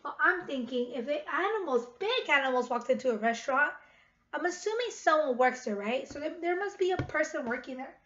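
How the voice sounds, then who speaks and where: A woman's voice: high voice, lively intonation, a little fast, a bit bright. A woman in her thirties reading aloud to young children as their teacher, repeating questions to them on a webcam at home. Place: living room at home, indoors. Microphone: webcam at about arm's length. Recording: dull webcam sound with clear noise.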